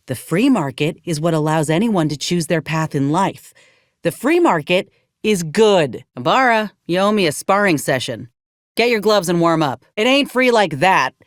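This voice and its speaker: A woman's voice: lowish voice, no echo, close to an everyday voice. A woman playing a wry, plainspoken former combat medic in a video game, loyal to her company, with dry, sardonic, folksy phrasing. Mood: earnest, persuasive.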